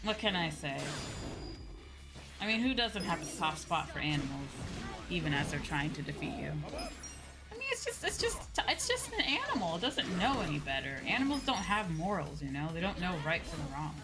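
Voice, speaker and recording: A woman giving casual, rambling, soft-hearted live commentary as she streams a video game, speaking into a close mic. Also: on the low side, very animated, normal speed, music in the background, rough recording.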